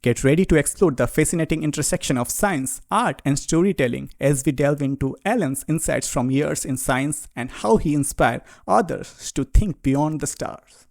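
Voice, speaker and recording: Polite, formal podcast host, dry sound. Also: male voice, on the high side, lively intonation, moderate pace, gravelly, darkish tone, dry recording. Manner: formal, polite, addresses guest as sir. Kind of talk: scripted intro then interview questions.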